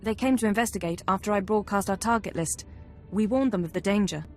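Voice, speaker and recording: A woman's voice: medium pitch, fast, a little mellow, soft background music, lightly acted. A woman playing an earnest, loyal intelligence operative in a video game, speaking precisely. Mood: matter-of-fact. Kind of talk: scripted video game dialogue.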